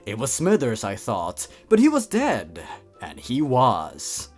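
A man's voice: mid-range voice, wildly swooping pitch, takes it slowly, faint music behind. A man hosting a comedic story reading, theatrical and amused in his reactions. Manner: theatrical, amused, chuckles between lines. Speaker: host of a creepypasta reading channel.

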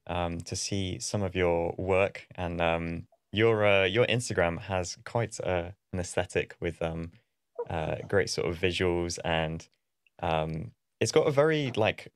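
A man in his thirties hosting a casual livestream over a video call from a home room, halting with many ums. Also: deep voice, no room sound. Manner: hesitant, many ums and uhs. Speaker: livestream host. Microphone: studio mic on arm, video call.

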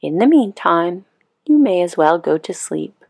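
A woman's voice: fairly low pitch, big pitch swings, measured pace, creaky, a little mellow, slightly rough, no echo, heavily acted. A woman voicing a wise old click beetle, a patient and encouraging teacher in a storybook.

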